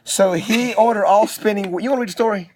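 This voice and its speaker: A man's voice: high-pitched, fast talker. A middle-aged man reading aloud to his child in a theatrical, teasing way, often breaking off for asides. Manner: theatrical, teasing, frequently interrupts himself. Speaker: father telling a bedtime-style story. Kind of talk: homemade storytime, reading aloud with playful asides.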